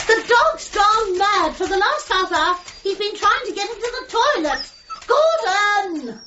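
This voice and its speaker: Long-suffering genteel widow, radio comedy, very high voice, animated, fluttery, fussy, slightly muffled recording. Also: female voice, very noisy, exaggerated voice. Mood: frantic. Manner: fussy, fluttery, politely exclaiming. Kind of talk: comic radio drama acting.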